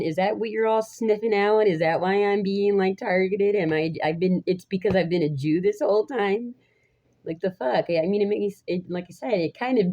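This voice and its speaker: A woman in her thirties with a raspy voice, talking to viewers in a halting, rambling casual vlog, often restarting and laughing between phrases. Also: medium pitch, warm tone, dull audio. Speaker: vlogger. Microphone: phone.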